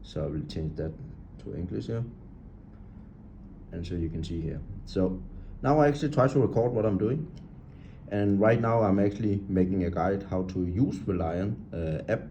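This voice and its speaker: A man giving an unscripted walkthrough of an app, halting and talkative, pointing out items on the screen in English with non-native grammar. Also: sits low, mellow, lo-fi sound, a little hiss or hum. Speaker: app tutorial presenter.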